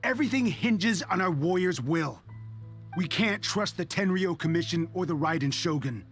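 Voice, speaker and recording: A bitter rebel soldier speaks with grim, defiant intensity. His voice sits fairly high for a man, with faint music in the background. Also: lively intonation, moderate pace, fairly bright tone. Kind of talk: video game voice acting.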